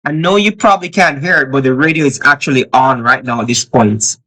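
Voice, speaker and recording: A man talking casually to camera as he reviews gear at home, explaining haltingly and repeating phrases for emphasis. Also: mid-range voice, pushed and bright, poor audio. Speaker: product reviewer. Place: child's bedroom at home.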